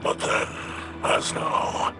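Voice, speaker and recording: An actor voicing a grave, proud alien warrior in a video game, with formal phrasing and a rasping growl. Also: male voice. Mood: solemn, scornful. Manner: formal, solemn, measured phrasing. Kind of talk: video game character dialogue. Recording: game dialogue over music.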